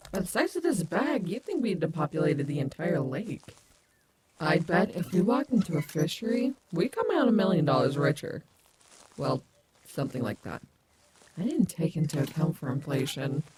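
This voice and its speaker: A woman playing a brash, protective animatronic wolf companion in an audio roleplay, by turns teasing and affectionate. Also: low voice. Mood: relaxed, joking. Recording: heavy outdoor ambience noise behind voice.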